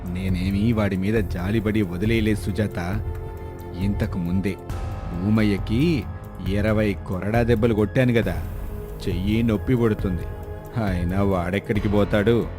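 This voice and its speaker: Stingy, arrogant landlord for cartoon folktale, played by a man; low pitched, berating, music underneath. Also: steady tone, measured pace, pauses often, close to an everyday voice. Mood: haughty. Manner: haughty, berating. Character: arrogant, stingy, scolding rich male landlord. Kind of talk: moral story cartoon voice acting.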